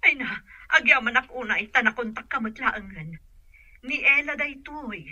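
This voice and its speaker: A woman's voice: fairly high pitch, normal speed, sharp tone, dry recording, lo-fi sound. A woman playing an anxious middle-aged birth mother longing for her child, pleading emotionally in an Ilocano radio drama, with an Ilocano accent.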